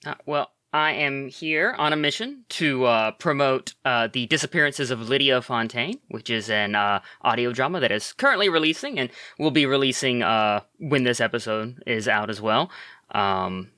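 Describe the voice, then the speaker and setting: Bright, hesitant male podcast guest. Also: medium pitch, lively intonation, normal speed, clear, resonant tone, no echo. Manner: hesitant, many uhs and ums, laughs easily. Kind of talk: casual interview chat. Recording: dry close podcast mic.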